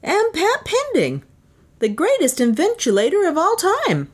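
A woman narrator plays an eccentric male inventor in a storybook. His voice swoops high and animated. He boasts grandly, mangles big words and can turn bashful. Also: measured pace, full character voice. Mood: grandly proud.

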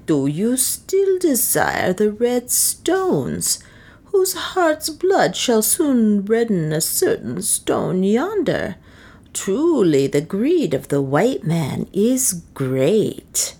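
A woman's voice: medium pitch, wildly swooping pitch, extremely slow, warm tone, voice pushed up, heavily acted. A woman acting a bitter, accusing serving woman. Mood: mocking. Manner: satirical, glaring, accusing. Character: bitter, sardonic, resentful native serving woman. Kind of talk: audiobook character acting.

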